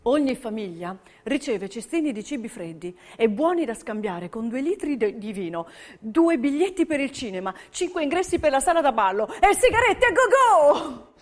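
A woman's voice: high-pitched, sing-song, normal speed. A woman delivering scripted theatrical narration in an emphatic, declamatory way. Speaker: stage narrator.

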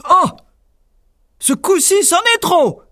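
A reader shouting as angry bickering giants. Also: high-pitched, very animated, measured pace, no echo, clean studio sound, pitched above the performer's own voice, heavily acted. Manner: shouting, indignant. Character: quarrelsome brutish male giants.

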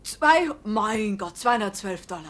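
An actress playing a devoted, practical wife in a 1950s German radio drama, gently encouraging in manner. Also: female voice, medium pitch, expressive, a little slow.

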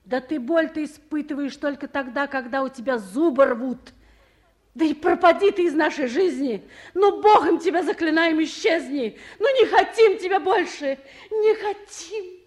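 An actress plays a busy official with a high feminine voice. She is used to giving orders, yet has a motherly streak, acted in the manner of 1950s radio theatre. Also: normal speed, natural voice. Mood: anguished, furious. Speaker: official in charge. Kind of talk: 1950s Russian radio play acting.

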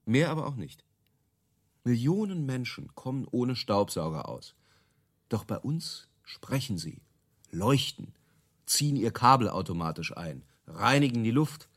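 A man's voice: mid-range voice, very animated, unhurried. An actor playing a brash, self-assured marketing man in a radio drama, emphatic, opinionated and dismissive. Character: brash, self-assured male product-marketing creative selling gadget stories. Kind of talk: radio drama dialogue in interview form.

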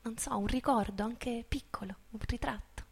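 A woman's voice: a little high, normal speed, vocal fry, breathy edge. A woman performing as a storyteller, moving between theatrical narration and informal, reflective talk to an audience.

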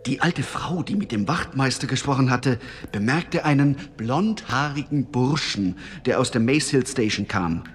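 A man acting a blunt, self-satisfied police constable in a German radio drama, telling things in a halting way. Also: medium pitch, lively intonation, slightly rough. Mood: reporting. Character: working-class police constable, matter-of-fact, self-satisfied about grisly work.

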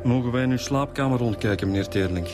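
A man's voice: mid-range voice, fast talker, natural voice. A man with a Flemish accent playing a wry, casual police inspector in a radio crime drama. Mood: businesslike. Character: wry, jokey male homicide detective inspector. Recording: music under the dialogue.

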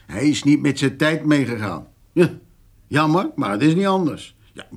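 An actor playing a wheedling, self-important farmer in a radio drama, with a husky voice and a placating, insinuating manner. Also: male voice, fairly high pitch, sing-song, moderate pace, full character voice. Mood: gossipy, insinuating. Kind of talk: naturalistic radio drama acting.

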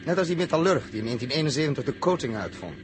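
A man's voice: on the high side, lively intonation, very fast, close to an everyday voice. An actor playing a practical, level-headed rocket crewman who speaks matter-of-factly in an old radio drama. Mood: calm, reassuring. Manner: matter-of-fact, reassuring. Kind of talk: 1960s radio drama acting. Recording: noisy old radio recording, vehicle hum.

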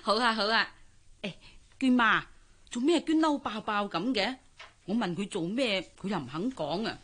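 An actress playing a kindly older aunt in a radio drama, chatty and persuasive, speaking with a Hong Kong Cantonese accent. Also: female voice, medium pitch, expressive, moderate pace, a bit bright. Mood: concerned. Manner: coaxing, chatty, with soothing interjections.

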